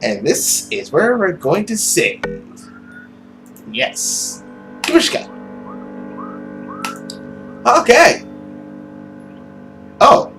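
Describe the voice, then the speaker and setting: A man hosting a gaming video, moving between dramatic story reading and casual commentary in an expressive, theatrical way. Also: high-pitched, extremely slow, long pauses, music in the background.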